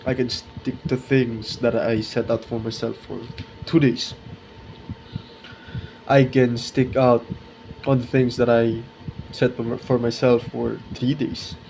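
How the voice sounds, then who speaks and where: A twenty-year-old man talking casually and reflectively to his viewers in a vlog, halting and correcting himself as he searches for words. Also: medium pitch, level tone, a little mellow, a little hiss or hum, poor audio. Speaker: art video creator.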